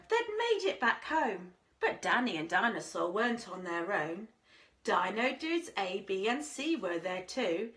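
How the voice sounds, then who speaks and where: A woman reads a children's storybook with plenty of drama. Her voice sits fairly high and swings up and down expressively. She takes her time, as if addressing a group of small listeners. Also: a bit bright. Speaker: infant school teacher reading stories to children.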